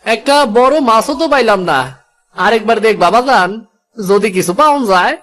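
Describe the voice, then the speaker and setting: A man's voice: sits high, animated, normal speed, a bit bright. A man voicing a fisherman's eager, loving young son with a rural Bangladeshi accent in a cartoon. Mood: eager, hungry. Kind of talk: cartoon voice acting.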